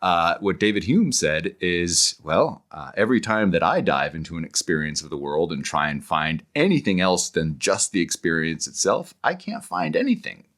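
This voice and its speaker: Deep-voiced man with lively, swooping intonation. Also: moderate pace, few pauses. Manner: animated storyteller, acts out paraphrased quotes. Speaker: interview guest, author and scholar. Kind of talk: conversational explanation of philosophy.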